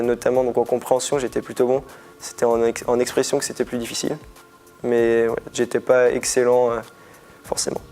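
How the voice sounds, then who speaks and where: Young male student, flat intonation, candid informal testimonial, faint music underneath. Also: mid-range voice. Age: young adult. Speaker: student back from internship abroad.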